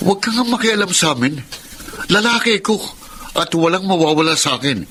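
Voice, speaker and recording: A cocky, short-fused older brother from a Filipino radio drama. His voice sits a little high and rings bright and forceful, with a Filipino accent. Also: animated, a little fast, slight background noise. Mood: defiant, irritated. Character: cocky, hot-tempered, unfaithful older brother. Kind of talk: Tagalog radio drama acting.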